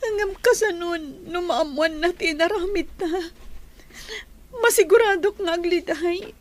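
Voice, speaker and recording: An anxious young woman in an Ilocano radio drama, with a very high voice. She pleads tearfully, fretting over a secret love. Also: measured pace. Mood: worried, pleading.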